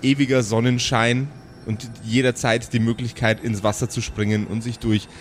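A man's voice: medium pitch, little pitch movement, normal speed, slight background noise. A man narrating an improvised tabletop story as game master, descriptive and searching for words as he goes.

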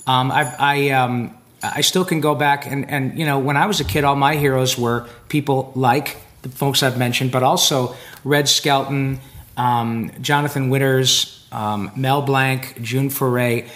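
A man's voice: medium pitch, a little slow. A man chatting in an interview, telling long stories with lots of fillers and restarts. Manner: animated, rambling storyteller with many fillers. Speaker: guest voice actor. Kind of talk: casual interview chat. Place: convention floor.

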